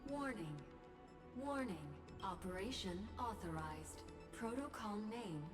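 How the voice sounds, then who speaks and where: An actress voicing an impersonal computer security system in a video game, making clipped, formal announcements. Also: female voice, mid-range voice, lively intonation, takes it slowly. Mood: cold, warning. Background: game music.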